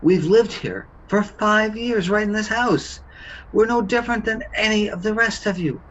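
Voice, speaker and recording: A man's voice: high-pitched, normal speed, fairly bright tone, poor audio. A man playing an accused suburban husband, bewildered, defensive and indignant, in a radio play.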